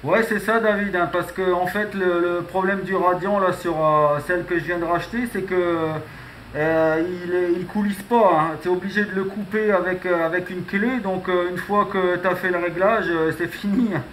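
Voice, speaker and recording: A man's voice: high voice, steady tone, moderate pace, a bit bright. A man chatting casually as an amateur radio operator, rambling and punctuating his phrases with little filler tags. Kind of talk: casual radio contact chat. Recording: clearly noisy radio contact recording.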